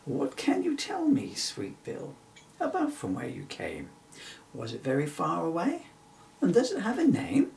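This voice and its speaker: Man putting on a curious, animated young girl. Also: fairly high pitch, measured pace, creaky, far from the mic, a little hiss or hum, voice pushed up, heavily acted. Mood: caring. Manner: polite, excitable. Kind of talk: children's storybook character reading.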